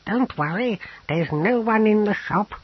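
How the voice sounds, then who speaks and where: A man's voice: sits high, lively intonation, measured pace, breathy edge, muffled recording, voice pushed up, exaggerated voice. A man voicing an eccentric apothecary philosopher in an audiobook, fussy, wordy and hesitant. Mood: startled, excited. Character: eccentric, rambling old-fashioned male apothecary and philosopher.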